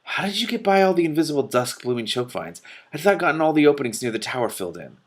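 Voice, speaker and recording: A man's voice: a little high, big pitch swings, quick pace, voice pushed up. A man reading the part of a grumbling, put-upon magician in a storybook, in an indignant manner. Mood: suspicious. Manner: grouchy, indignant.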